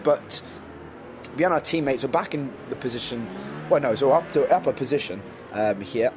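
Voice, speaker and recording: A man losing his voice, casually and self-mockingly commentating his own racing game footage with many ums. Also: mid-range voice. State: losing his voice, getting ill. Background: background music.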